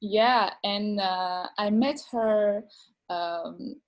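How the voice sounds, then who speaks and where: A woman from France, a dancer and teacher, telling her story in an interview with many pauses, fillers and repeated words. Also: medium pitch. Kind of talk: video call interview.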